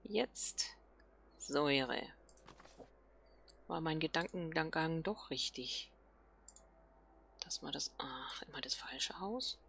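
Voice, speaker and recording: A woman's voice: deep voice, expressive, slow, vocal fry, pauses often, a bit bright, slight background noise. A woman hosting a let's play, thinking aloud casually and murmuring questions to herself as she plays.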